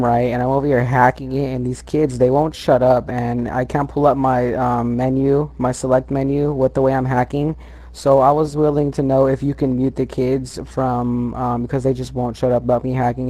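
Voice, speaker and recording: A man's voice: mid-range voice, level tone, normal speed, warm tone. A young man making a casual prank phone call, slangy and feigning earnestness.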